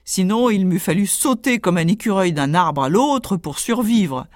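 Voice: mid-range voice, lively intonation, moderate pace, no room sound, studio quality, lightly acted. A storyteller voicing a boastful, cunning little male tailor who brags in a cocky, self-satisfied way.

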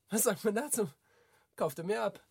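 An actor playing a wry man in radio drama conversation, husky-voiced, questioning and teasing. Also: sits high, animated, measured pace, a little mellow, full character voice. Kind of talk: naturalistic radio drama dialogue.

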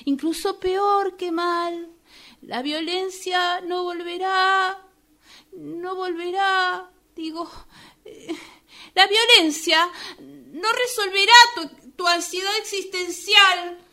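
A woman's voice: very high-pitched, fairly even intonation, slow, bright, forceful tone, full character voice. A woman playing an anxious yet reproachful, lecturing woman in a radio play, with an Argentine accent and an insistent manner. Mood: pleading. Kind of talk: radio drama acting.

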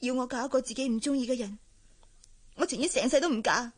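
An actress with a Hong Kong Cantonese accent playing a headstrong, wounded young working woman in a radio drama, hushed and plaintive. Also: high voice, fast talker. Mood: defiant. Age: young adult.

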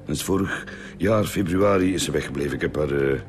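An actor playing an evasive hotel keeper in a Flemish radio drama, with a Flemish accent. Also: male voice, rumbling low, a little fast, over music. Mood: defensive, shifty. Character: evasive male hotel keeper questioned by detectives.

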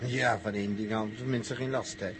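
Actor as an anxious grumbler, noisy recording. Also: male voice, on the low side, fairly even intonation, quick pace, natural voice. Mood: suspicious. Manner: fretful, repeats himself. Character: anxious, suspicious, grumbling male traveller. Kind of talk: 1960s Dutch radio drama acting. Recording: noisy old radio drama tape.

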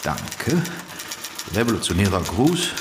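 An actor playing a self-important, scheming would-be publisher in a radio play, dictating pompously with muttered asides and self-corrections. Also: male voice, sits low, wildly swooping pitch, slow, full character voice. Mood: salesmanlike. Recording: roomy, noisy radio drama scene.

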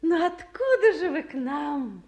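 A woman's voice: high voice, sing-song, unhurried, vocal fry, darkish tone, heavily acted. A woman acting in a radio play as a hearty, deferential middle-aged town official, shifting between hesitant asides and ceremonial effusion. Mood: effusive.

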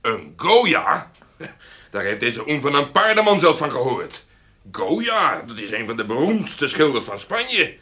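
An actor in a radio play as a gruff, jealous middle-aged husband and father, blunt and scornful. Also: medium pitch, big pitch swings, measured pace, pushed and bright. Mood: angry, mocking.

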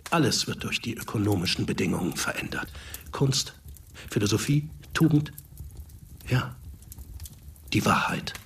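A man's voice: on the low side, expressive, unhurried, pauses often, a bit bright, recorded from a distance, a little hiss or hum. A man playing an earnest, optimistic revolutionary theorist in a radio drama, lecturing with emphasis.

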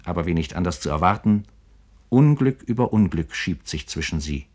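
A man narrating a scripted literary radio programme in a measured, formal manner. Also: low voice, expressive, a little mellow.

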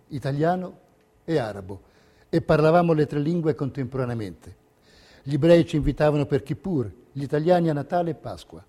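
An actor playing a narrator who recounts history and memories in scripted documentary theatre. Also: male voice, on the high side, warm tone. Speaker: stage actor.

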